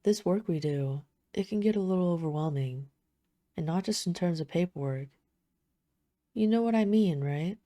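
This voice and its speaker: A woman playing a poised, flirtatious vampire, speaking softly and teasingly in an intimate audio roleplay. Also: fairly low pitch, moderate pace, no echo, clean studio sound, close to an everyday voice. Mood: teasing concern. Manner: soft-spoken, teasing, smooth formal phrasing. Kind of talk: intimate scripted audio roleplay addressed to the listener.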